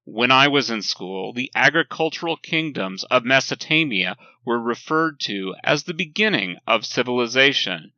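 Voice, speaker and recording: A man reading a scripted, lecture-like sermon in a measured, emphatic way with deliberate pauses. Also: mid-range voice, expressive, sharp tone, no echo, highs cut off. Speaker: reader of a written sermon.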